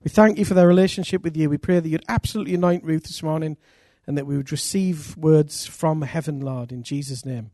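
A man's voice: a little high, fairly even intonation, a little fast, hardly any pauses, dry recording. Enthusiastic middle-aged male pastor, dry sound. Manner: warm enthusiastic introducer, cheering. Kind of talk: church introduction and prayer. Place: church.

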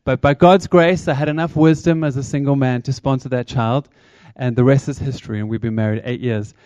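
A man's voice: medium pitch, steady tone, fairly quick, darkish tone, clear, resonant tone, dry recording. A man from South Africa with a South African accent, giving a chatty, engaging talk to a church congregation as a charity speaker. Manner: chatty, engaging, asks the crowd questions.

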